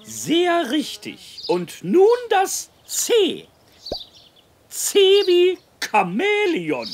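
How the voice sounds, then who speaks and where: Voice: very high-pitched, wildly swooping pitch, very slow, heavily acted. An actor voicing a prim male gander schoolteacher who patiently instructs and corrects in a children's cartoon. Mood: instructive. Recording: birdsong noise throughout.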